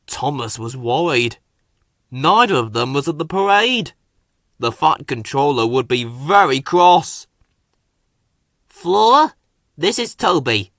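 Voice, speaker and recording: A man's voice: medium pitch, lively intonation, a little slow, a bit bright, no echo, clean studio sound. A man narrating a scripted children's story as a storybook reader.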